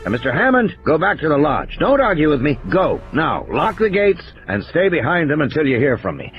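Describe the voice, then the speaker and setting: A man voicing a gruff, blunt game warden who gives terse, commanding orders in an audio drama. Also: a little high, very animated, brisk, highs cut off, soft background music. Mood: commanding, urgent.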